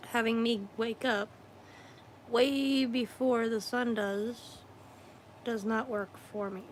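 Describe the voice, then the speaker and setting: A woman livestreaming her crafting in casual, rambling chat, hoarse and losing her voice, often clearing her throat and correcting herself. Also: fairly high pitch, a little hiss or hum. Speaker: craft streamer.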